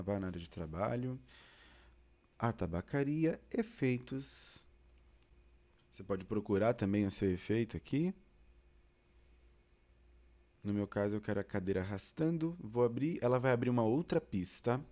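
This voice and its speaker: A Brazilian man teaches in an informal tutorial style. His voice sits fairly low and has a very mellow, soft-edged tone. He explains things casually, step by step, like an instructor beside the learner. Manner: casual, explanatory, uses diminutives.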